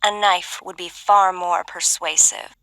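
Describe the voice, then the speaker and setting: A woman's voice: lowish voice, steady tone, unhurried, sharp tone, no room sound, low-quality recording. A woman voicing a menacing yet playful young knife-loving character in a video game, theatrically delivered. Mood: coolly menacing.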